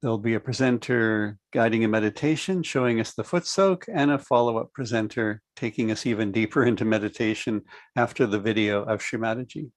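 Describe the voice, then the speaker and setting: A middle-aged man hosting an online meditation class over a video call, speaking calmly and in a measured way. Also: on the low side, no room sound. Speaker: host. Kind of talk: friendly online class introduction.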